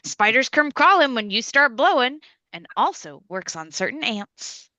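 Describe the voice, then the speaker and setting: A woman playing a mock television announcer, reading a scripted comedy intro and sponsor plugs with deadpan salesmanship. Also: on the high side, measured pace, no echo, heavily acted, low-quality recording. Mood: deadpan, announcing.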